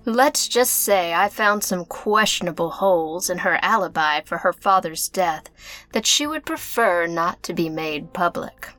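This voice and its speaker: An actress playing a playful, gloating villainess in a parody radio mystery, teasing and theatrical. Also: female voice, fairly low pitch, animated, unhurried, a bit bright. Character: playful, gloating femme fatale villainess, secretly a journalist.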